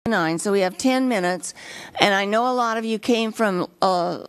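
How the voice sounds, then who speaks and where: A woman moderating a public meeting, calling up speakers in a brisk, practical way. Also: medium pitch. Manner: brisk, practical, gives instructions.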